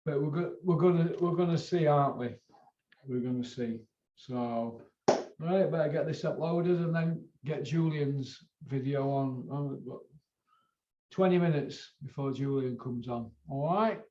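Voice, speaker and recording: A man's voice: fairly high pitch, a little slow. A man with a Northern English accent chatting casually as host, in a rambling, colloquial way that circles back on itself. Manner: rambling, colloquial, sweary, repeats himself. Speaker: host of a boxing video channel. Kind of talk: casual chat. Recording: roomy, dull lo-fi sound.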